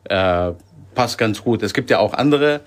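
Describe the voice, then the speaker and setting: A man's voice: fairly low pitch, lively intonation, a little slow. A man from Sicily as a guest, talking casually with many hesitations. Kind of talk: casual chat.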